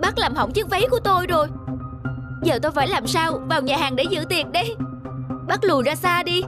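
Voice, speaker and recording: An actress playing a haughty, snappish young rich student in a cartoon drama, scolding with sharp disdain. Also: female voice, extremely high pitch, lively intonation, brisk, music in the background. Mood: indignant.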